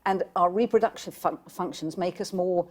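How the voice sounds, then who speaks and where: A woman's voice: lowish voice, moderate pace, darkish tone. A woman debating on a studio panel, full of hesitant restarts yet insistent on making her point. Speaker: panel guest.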